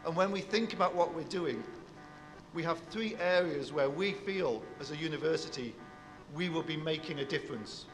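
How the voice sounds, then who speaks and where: A man, a university professor, giving a measured formal speech to an audience, speaking with a big voice. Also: a little high, big-room sound, over music.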